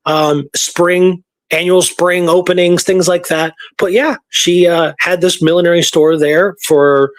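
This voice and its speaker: A man casually retelling history research from his notes, halting and informal as he reads out dates. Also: high voice, steady tone, no room sound.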